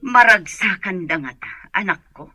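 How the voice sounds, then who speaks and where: A woman's voice: mid-range voice, lively intonation, normal speed, pushed and bright, faint music behind. A woman with an Ilocano accent playing an easygoing, sociable mother in a radio drama. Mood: reflective.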